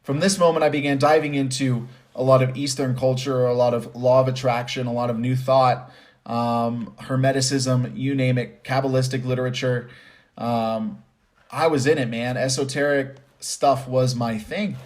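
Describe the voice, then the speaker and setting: A man's voice: mid-range voice, level tone, moderate pace. Guy with a mid-range voice doing motivational talk, chatty and emphatic, repeats stuff to drive it home. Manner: conversational, emphatic, repeats phrases for stress. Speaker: host and commentator. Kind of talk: motivational spoken commentary with personal storytelling.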